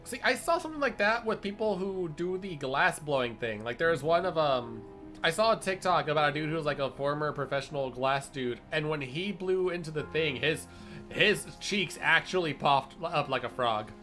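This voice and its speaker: Young male streamer, animated, bright and playful, casual banter. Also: on the high side, faint music behind. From grew up speaking Spanish. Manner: playful, jokey, laughs a lot, quick bursts. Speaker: streamer host. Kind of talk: casual chat.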